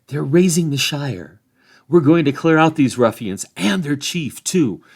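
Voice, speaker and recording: A man's voice: fairly high pitch, lively intonation, unhurried, voice pushed up. A man voicing a loyal, plain-spoken young hobbit with homely rustic speech. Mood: determined.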